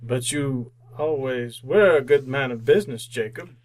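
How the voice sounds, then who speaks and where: An actor playing a frightened, querulous old miser in an old-time radio drama, hesitant and pleading, often repeating himself. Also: male voice, medium pitch, measured pace, natural voice. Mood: anxious, placating.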